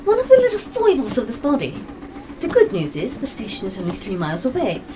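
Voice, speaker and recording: A woman playing a quick-witted, eccentric time-travelling adventurer in an audio drama, rambling breezily and flippantly even in danger. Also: a little high, recorded from a distance, a little hiss or hum. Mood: flippant, brisk.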